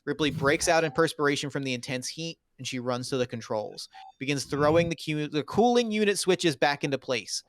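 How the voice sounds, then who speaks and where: A man's voice: fairly high pitch, brisk, few pauses, no echo. A man narrating screenplay stage directions in dramatic, clipped phrases for a table read.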